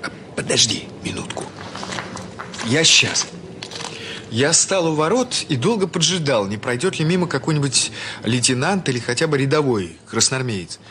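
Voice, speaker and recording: A man playing a kind, concerned young man, narrating a children's story in an expressive, conversational way. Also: mid-range voice, normal speed, noisy background, lightly acted. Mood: recounting, animated. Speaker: narrator and lead actor.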